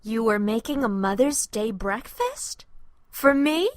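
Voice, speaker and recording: A gentle, encouraging mother from a children's story, as a woman narrator plays her. She speaks slowly and tenderly in a fairly high voice, with a reassuring manner and a dry sound. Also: big pitch swings, no echo. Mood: surprised. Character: kind, gentle, encouraging young boy's mother.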